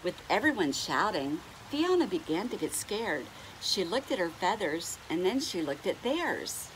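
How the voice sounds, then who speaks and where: A middle-aged woman reading a picture book aloud to young children, expressively, drawing out words and pausing to ask them questions. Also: on the low side, sing-song, slight background noise, poor audio. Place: indoors at home on a couch.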